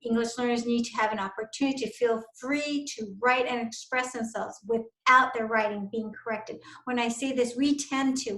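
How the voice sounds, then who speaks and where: Middle-aged woman, instructor, fairly high voice, encouraging lecture tone, roomy echo. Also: a bit bright. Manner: earnest, encouraging teaching tone with fillers. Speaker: university instructor presenting to teachers. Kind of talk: recorded lecture over slides. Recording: echoey room.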